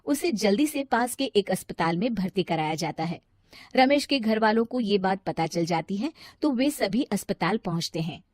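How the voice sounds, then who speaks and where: A woman's voice: mid-range voice, animated, normal speed, a little mellow, no echo, clean studio sound. A woman reading scripted story narration as the storyteller.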